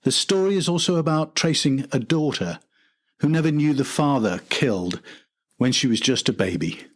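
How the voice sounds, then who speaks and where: A man's voice: medium pitch, lively intonation, moderate pace, a little hiss or hum. An older man reading a scripted documentary narration as the host and storyteller.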